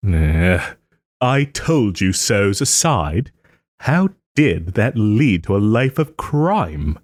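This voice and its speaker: A man playing a haughty but tender wronged nobleman in a romantic audio roleplay, speaking theatrically with formal, old-fashioned phrasing and hesitant pauses. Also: on the low side, very animated, dry recording, studio quality, heavily acted. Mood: smug, mocking. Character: wronged young nobleman, haughty, wounded, still tenderly caring.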